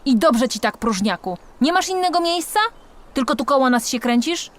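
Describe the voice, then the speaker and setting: A woman's voice: high-pitched, animated, normal speed, background noise, pitched above the performer's own voice. A woman voicing brusque, busy female worker ants in a children's story, curt and scolding. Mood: scornful.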